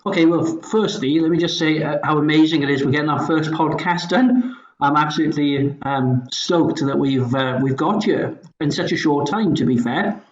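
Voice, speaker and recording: A middle-aged man with a soft, anglicised Welsh accent, talking casually as a podcast guest in hesitant, stop-start phrases. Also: a little high, moderate pace, few pauses. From Wales, Swansea Valley. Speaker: podcast guest, company founder. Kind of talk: casual conversational talk. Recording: roomy echo, slightly dull sound.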